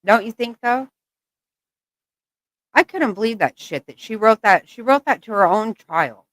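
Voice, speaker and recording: A woman's voice: fairly high pitch, lively intonation, measured pace, a bit bright, dry recording. A woman giving blunt, exasperated reaction commentary, swearing often. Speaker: channel host reacting to clips.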